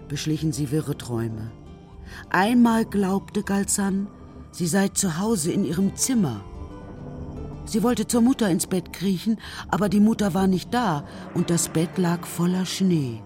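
A woman narrating a children's radio play in a measured, dramatic storytelling manner. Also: on the low side, lively intonation, warm tone, faint music behind. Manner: measured, dramatic literary reading.

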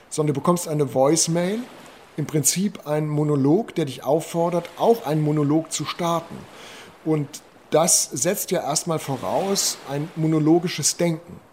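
Male interviewee, slightly high pitch, theorising deliberately, light noise behind him. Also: darkish tone. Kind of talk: reflective interview talk.

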